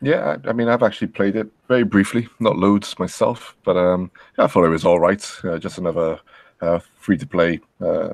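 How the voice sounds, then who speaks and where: Male panelist, very low creaky voice, halting casual talk, dry sound. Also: fairly even intonation, no echo. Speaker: podcast panelist. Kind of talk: casual chat.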